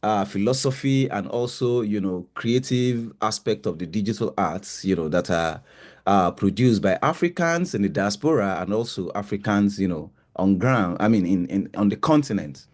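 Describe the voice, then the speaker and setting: A male lecturer introduces a guest to his class in a fairly low voice. He talks hesitantly and leans on "you know" and "uh" between phrases. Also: expressive, moderate pace. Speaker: university lecturer.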